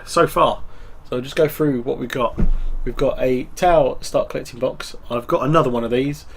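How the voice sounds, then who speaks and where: Guy w a cold, stuffy nose, rambly hobby chat, faint hiss behind, mid pitch. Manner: relaxed, rambling, full of ums. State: congested with a cold. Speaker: tabletop wargaming channel host. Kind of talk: casual hobby show-and-tell.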